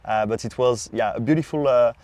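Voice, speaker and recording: A man from France with a French accent, a non-native speaker, explaining wine informally as a podcast sommelier with hesitant, halting phrasing. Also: mid-range voice, darkish tone.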